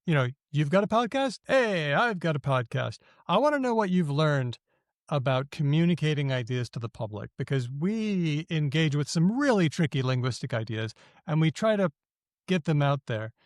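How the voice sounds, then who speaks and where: An enthusiastic man hosts a podcast in a relaxed, informal way. His voice sits a little higher than average. The sound is dry and close to the mic, with no room echo. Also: moderate pace. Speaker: podcast host. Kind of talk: casual interview chat.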